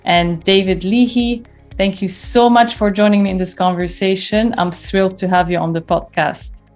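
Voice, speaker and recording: A woman's voice: medium pitch, fairly even intonation, moderate pace, darkish tone, faint music behind. A woman hosting a podcast, introducing her guest and asking questions in an effusive, enthusiastic way. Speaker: podcast host, leadership coach.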